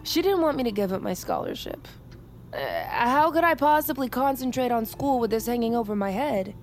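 A woman's voice: on the high side, sing-song, moderate pace, a little hiss or hum. An actress playing a stubborn, intense young female army private in a naturalistic audio drama. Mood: guarded, defiant. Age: young adult.

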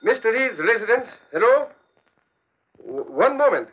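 A man's voice: very high-pitched, very animated, a little slow, breathy edge, full character voice. A man playing a polite, deferential houseboy who speaks broken English in a 1940s radio drama. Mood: polite, puzzled. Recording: dull old radio broadcast recording.

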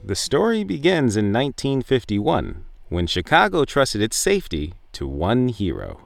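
A man playing a curious public radio documentary host, telling the story in a polished, deliberate way. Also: medium pitch, huge pitch swings. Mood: measured, storytelling. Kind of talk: scripted radio documentary narration. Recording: clear music bed behind voice.

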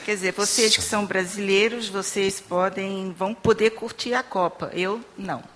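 A woman interpreting a sermon into Portuguese and reading scripture aloud in a steady, measured way. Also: medium pitch. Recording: long hall reverb with faint room noise.

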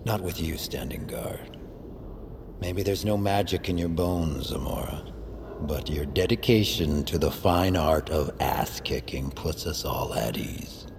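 An actor playing a menacing, domineering master of sorcerers in an audio drama, sardonic and commanding. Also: male voice, rumbling low, a little slow. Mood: smooth command. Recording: noisy ambience behind.

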